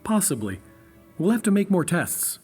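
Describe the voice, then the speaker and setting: A man playing a calm, brainy scientist who explains things in a measured way, in a fan audio drama. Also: high voice, slightly rough, faint music behind, exaggerated voice.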